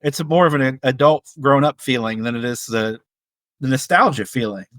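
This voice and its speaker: A man's voice: mid-range voice, moderate pace, a bit bright, no room sound. A man in his thirties co-hosting a podcast, talking casually about films. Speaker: podcast co-host. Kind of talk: casual film chat.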